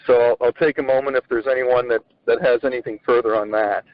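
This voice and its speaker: Man hosting a phone conference, halting delivery with restarts and fillers, mid-range voice, muffled line. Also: mid-range voice, steady tone, no echo. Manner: halting, repeats words, many fillers. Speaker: host of an agricultural education conference call. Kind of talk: leading a conference call. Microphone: phone on a conference call. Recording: muffled conference-call audio.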